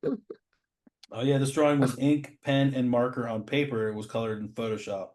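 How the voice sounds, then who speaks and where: A man talking as a show host in casual, informal commentary. His pitch sits in the middle for a male voice. He speaks with open admiration and drops in slangy asides. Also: steady tone, normal speed, darkish tone. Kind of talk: casual chat, commentary on comic art.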